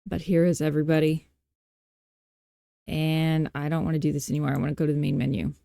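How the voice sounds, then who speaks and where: A woman with a fairly low, mellow voice talks through a screen-recorded tutorial. She is informal and playful, thinking aloud with self-deprecating asides. The sound is very dry and close. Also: flat delivery, brisk, gravelly, no echo. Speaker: tutorial host.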